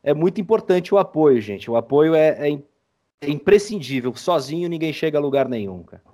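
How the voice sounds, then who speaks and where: A man's voice: a little high, lively intonation, a little fast, mellow. A man with a Brazilian Portuguese accent speaking earnestly and emphatically as a guest in a casual interview. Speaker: podcast guest, audiodrama creator.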